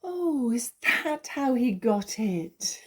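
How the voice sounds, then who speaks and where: Woman as a slow, breathy, earnest naive wife. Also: on the high side, sing-song, full character voice. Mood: anxious, bewildered. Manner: earnest, hesitant, emotional. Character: naive, tearful, easily fooled jealous young wife. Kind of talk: theatrical stage comedy acting.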